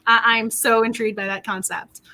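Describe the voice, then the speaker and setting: A woman hosting a book club livestream in casual chat, excitable and quick to laugh, her voice getting worn. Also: a little high. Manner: excitable, laughs often, repeats words.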